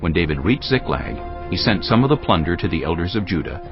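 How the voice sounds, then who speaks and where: A man narrating dramatized scripture in a solemn, measured storytelling manner. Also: low-pitched, very animated. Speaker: Bible narrator. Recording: dull audio with music behind. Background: background music.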